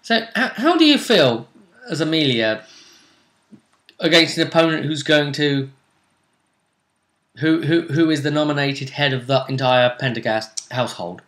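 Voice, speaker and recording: A man's voice: on the high side, sing-song, a little slow, pauses often, a bit bright. A man hosting a tabletop role-play tournament show, talking casually as he comments and speculates.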